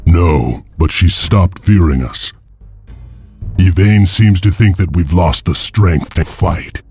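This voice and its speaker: An actor playing a solemn, vengeful heir of an enslaved warrior clan, speaking gravely and deliberately in a dramatic video game style. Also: male voice, deep voice, a little mellow, soft background music, exaggerated voice. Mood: calm, guarded. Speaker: story narrator and lead character. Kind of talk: dramatic scripted game narration and dialogue.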